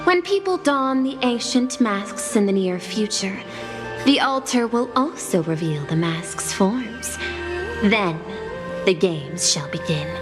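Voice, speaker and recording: A woman's voice: medium pitch, lively intonation, slow. A woman playing a cool, logical corporate executive in a video game, formal, precise and detached. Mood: solemn. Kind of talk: scripted video game voice acting. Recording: long hall reverb, music behind.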